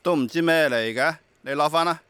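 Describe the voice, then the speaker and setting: A man's voice: fairly high pitch, fairly even intonation, brisk, fairly bright tone, clear, resonant tone, no echo, lightly acted. A man playing a brusque second-hand goods buyer in a radio drama, offhand and grumbling as he haggles. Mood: puzzled. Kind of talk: Cantonese radio drama acting.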